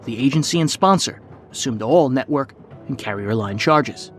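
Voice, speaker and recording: A man's voice: mid-range voice, lively intonation, normal speed, faint music behind. A man reading scripted documentary narration as a podcast host.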